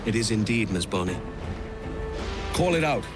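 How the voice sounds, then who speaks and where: An actor playing a frank, roguish pirate captain in a game cutscene. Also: male voice, on the low side, lively intonation, normal speed, over music, close to an everyday voice. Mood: commanding. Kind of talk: dramatic game cutscene acting.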